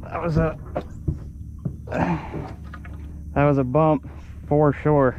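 A man's voice: fairly high pitch, drawn-out words, dull audio, a little hiss or hum. A young man on a small boat filming his fishing trip, chatting and exclaiming as he fights a catch. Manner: excited, exclaiming over the catch. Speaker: fishing vlogger. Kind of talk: casual chat while fishing. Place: small boat on open water outdoors.

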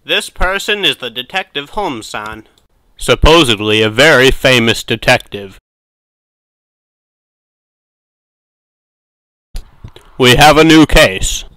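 A man's voice: mid-range voice, expressive, normal speed, bright, forceful tone, dry recording, rough recording. A man voicing an earnest, exasperated young detective's assistant in an anime fan dub, with stilted, scolding delivery. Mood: narrating, puzzled.

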